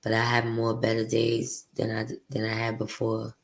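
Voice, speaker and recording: A woman with a deep voice hosting her podcast, venting bluntly and profanely in a candid, confessional monologue. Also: monotone, normal speed. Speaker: podcast host.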